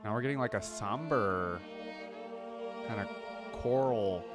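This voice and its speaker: A man's voice: lowish voice, measured pace. A man hosting a reaction video, giving casual, enthusiastic commentary and thinking aloud as he watches. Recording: loud orchestral music behind voice. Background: game trailer and theme music.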